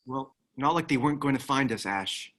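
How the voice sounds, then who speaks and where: Man playing a steady, wry ex-military sidekick. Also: mid-range voice, moderate pace, natural voice. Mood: resigned. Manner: understated, casual, wry. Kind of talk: screenplay table read.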